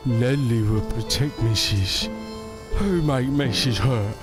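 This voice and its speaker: A lumbering, kind-hearted simpleton, played by a man, talks at a crawl with music underneath. He stammers timidly in broken, childlike phrases, like a gentle giant in a radio play. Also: mid-range voice, close to an everyday voice. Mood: earnest, protective. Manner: simple broken third-person speech, halting, stammering. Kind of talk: audio drama acting.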